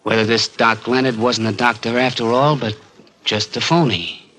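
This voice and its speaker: A man's voice: medium pitch, fairly even intonation, normal speed, sharp tone, lightly acted, rough recording. An actor playing a bitter small-time gunman in a 1940s radio crime drama, with an American accent and streetwise, hard-boiled slang. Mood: bitter accusing. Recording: vintage 1940s radio recording, music behind.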